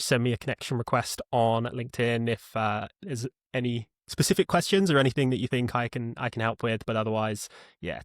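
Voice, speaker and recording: A man's voice: medium pitch, a bit bright, no room sound, studio quality. A man speaking as an interview guest, walking through how his support team works in a hesitant, explanatory, conversational way. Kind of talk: conversational product walkthrough in an interview.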